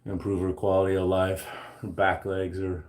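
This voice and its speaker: A man's voice: low voice, completely flat delivery, normal speed, a little mellow. A man in his forties chatting casually and joking about himself between sets of weightlifting in his home gym. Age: 40s. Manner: joking, self-deprecating banter between sets. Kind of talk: casual chat while lifting weights. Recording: roomy echo.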